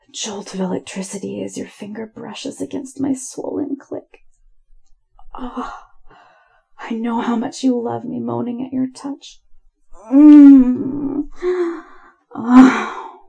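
A woman narrating adult erotic audio slowly and intimately, with a breathy, husky voice that often drops to a whisper and lingers between sighs. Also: a little high, steady tone. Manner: slow, hushed, lingering delivery broken by sighs and moans.

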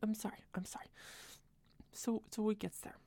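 A young woman doing a soft, close-mic whispered girlfriend roleplay, rambling and doting, a little tipsy. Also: medium pitch, level tone, moderate pace. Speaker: ASMR roleplayer.

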